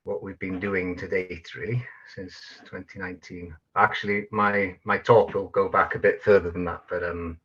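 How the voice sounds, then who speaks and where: Low, bright-voiced Welsh man, halting. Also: little pitch movement, husky, lo-fi sound. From North Wales. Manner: hesitant, many fillers and restarts. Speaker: guest speaker, project manager. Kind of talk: online talk presentation.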